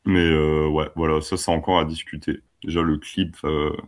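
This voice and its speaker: Man, 20s, from France, low voice, relaxed chatty interview manner. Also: moderate pace. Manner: relaxed, chatty, laughs easily. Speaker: band musician. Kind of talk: casual interview chat.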